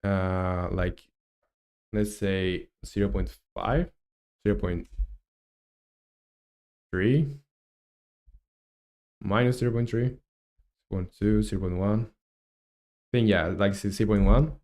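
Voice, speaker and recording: A man's voice: lowish voice, takes it slowly, pauses often, darkish tone. A young man from Chile in his twenties, chatting casually while he works on a game and reads chat aloud, with lots of fillers and restarts. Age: 20s. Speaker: game dev streamer.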